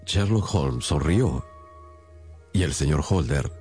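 A man's voice: rumbling low, lively intonation, takes it slowly, darkish tone, faint music behind. A man narrating a scripted story as part of a radio drama.

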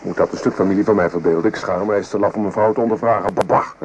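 An actor playing an impatient, scornful French soldier in a radio play, brisk and scoffing. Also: male voice, low-pitched, steady tone, lightly acted. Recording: noisy old radio drama recording.

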